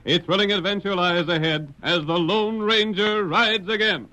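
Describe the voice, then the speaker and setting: A man's voice: high-pitched, normal speed, pushed and bright. A man with an American accent narrating a scripted old-time radio drama in a formal, dramatic announcer style. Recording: dull old radio recording.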